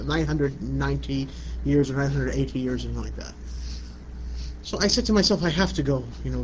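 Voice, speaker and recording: A middle-aged man from Iraq giving a religious lecture in a conversational way, often asking "you know what I'm saying". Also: mid-range voice. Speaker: Islamic lecturer. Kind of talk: religious lecture answering a question. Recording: muffled lo-fi recording with light background noise.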